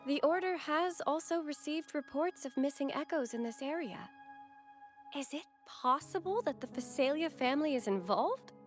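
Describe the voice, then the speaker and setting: A devout young church girl from a video game. She speaks in a high, animated voice with polite, earnest hesitancy. Music runs underneath. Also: moderate pace. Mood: troubled, earnest. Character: sweet, devout, flustered young church acolyte woman.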